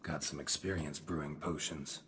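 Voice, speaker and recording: A gruff, weary monster hunter in a game scene. His voice is extremely deep and his lines are short and flat. Clear ambient noise runs behind him. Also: normal speed. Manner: terse, flat, clipped. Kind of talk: video game cutscene.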